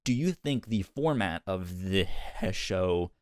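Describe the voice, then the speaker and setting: A man hosting a podcast, chatting casually and haltingly, often repeating words as he works out his thought. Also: sits low, animated, dry recording, studio quality. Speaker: podcast host. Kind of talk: casual review discussion.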